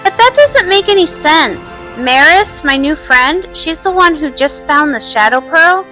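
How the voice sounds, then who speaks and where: Lady doing girly pony princess for a cartoon dub, really high expressive voice, faint music under it. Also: unhurried, heavily acted, poor audio. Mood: doubtful, earnest. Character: bubbly, earnest young royal pony princess, social media lover. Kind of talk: fan comic dub, cartoon acting.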